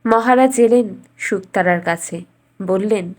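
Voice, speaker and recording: A woman narrating a scripted bedtime fairy tale as a storyteller, in a measured, expressive way. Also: mid-range voice.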